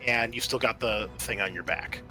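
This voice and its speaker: Bright-voiced man running a tabletop game. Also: on the low side, moderate pace, faint music behind, low-quality recording. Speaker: game master. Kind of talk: narrating a tabletop roleplaying game.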